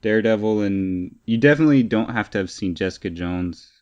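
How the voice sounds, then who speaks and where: A man with a fairly low voice co-hosts a casual podcast. He talks in slangy, teasing banter and leans hard on his words for emphasis. The recording is somewhat dull and lo-fi. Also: brisk, smooth. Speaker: podcast co-host. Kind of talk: casual banter about games and shows.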